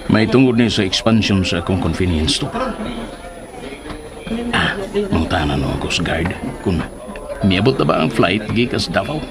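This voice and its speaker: An actor playing an anxious, determined businessman searching for his lost love in a Philippine radio drama. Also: lowish voice. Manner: muttered musing swinging into excited exclamation.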